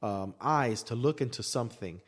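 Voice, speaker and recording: A man's voice: mid-range voice, lively intonation, moderate pace. A man in a casual debate, making his case in an emphatic, insistent and argumentative manner. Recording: reverberant hall-like room echo.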